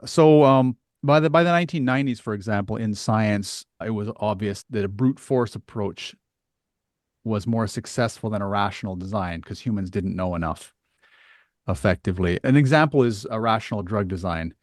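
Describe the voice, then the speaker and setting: A middle-aged man hosting a conversational podcast interview, thinking aloud in a halting way with restarts. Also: fairly low pitch, no room sound, clean studio sound. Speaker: podcast host.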